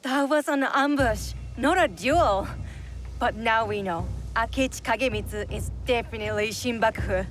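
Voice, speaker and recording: An actress playing a composed, careful female advisor in a video game, measured and informative. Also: a little high, close to an everyday voice. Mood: cautioning. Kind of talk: video game cutscene acting. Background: music.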